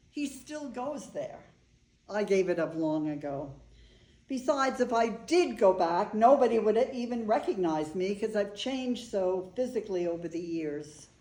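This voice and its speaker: A woman's voice: medium pitch, warm tone, lightly acted. A woman reading the part of an older bride in a staged play, wry and fondly reminiscing. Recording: roomy, echoing live room recording.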